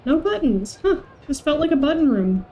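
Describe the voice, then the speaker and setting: A woman's voice: high voice, very animated, moderate pace, dark tone, rough recording. A woman streamer chatting casually and playfully over live gameplay, chirpy and whimsical. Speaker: game streamer.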